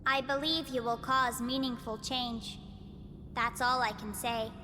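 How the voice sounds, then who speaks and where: A woman playing a calm, upbeat guide who explains things in a formal, measured way. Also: a little high, a little hiss or hum. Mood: explaining. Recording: long reverb with music behind.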